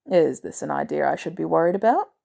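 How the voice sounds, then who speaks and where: A woman voicing a poised, caring female executive with composed, gently teasing delivery in a story reading. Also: low voice, animated, brisk, vocal fry, heavily acted. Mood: scheming. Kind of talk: storybook character dialogue.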